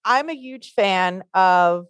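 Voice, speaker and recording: A woman's voice: mid-range voice, slow, smooth, no echo. A woman librarian co-hosting a podcast, easygoing and chatty in casual talk. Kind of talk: casual chat. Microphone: studio microphone.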